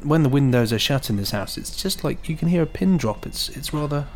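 A man's voice: on the high side, animated, a little fast. A man co-hosting a podcast in casual chat, cheerful and rambling, often repeating his words. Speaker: podcast co-host.

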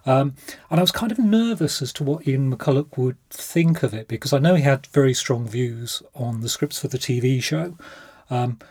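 A man with a husky voice, speaking earnestly and with some hesitation on a podcast. The sound is dry and close, with no room around it. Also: mid-range voice, moderate pace, darkish tone, dry recording. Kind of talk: casual podcast chat.

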